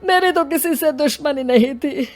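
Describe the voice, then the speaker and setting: A grieving elderly village headman in a moral story, played by a woman with a high voice. He is mournful and pleading, with faint music underneath. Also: normal speed, lightly acted. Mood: anguished. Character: grieving elderly village headman who lost his son.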